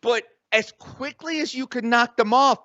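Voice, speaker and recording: A man running an improvised comic tabletop game, excitable and crude, on slightly dull-sounding audio. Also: extremely high pitch, moderate pace, no echo. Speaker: game master.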